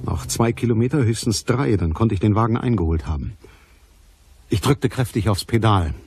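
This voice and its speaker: Actor as a low-voiced hard-boiled private detective. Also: male voice, lively intonation, normal speed, darkish tone, a little hiss or hum, close to an everyday voice. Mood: tense, urgent. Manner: terse, matter-of-fact storytelling. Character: hard-boiled private detective, cool, watchful, unsentimental man. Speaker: actor playing narrator and lead detective. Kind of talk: scripted first-person crime radio drama narration.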